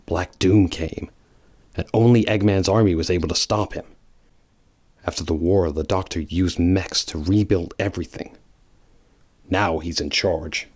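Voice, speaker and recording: A grim, brooding hedgehog antihero played by a man in an audio drama. The voice is very deep, grave and guarded, with terse delivery. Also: a little mellow. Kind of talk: fan audio drama acting.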